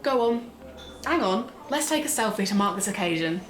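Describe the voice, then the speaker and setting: A woman's voice: medium pitch, expressive, normal speed, close to an everyday voice. A woman playing a casual, curious young friend in an audio drama, informal and inquisitive. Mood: relaxed, friendly. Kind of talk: naturalistic audio drama dialogue. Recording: echoey room with faint noise.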